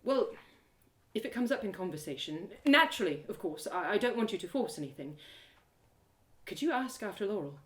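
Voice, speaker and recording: A woman voicing an awkward, earnest elf woman in a storybook reading, with a hesitant, halting manner. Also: medium pitch, very animated, fairly bright tone. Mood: sheepish.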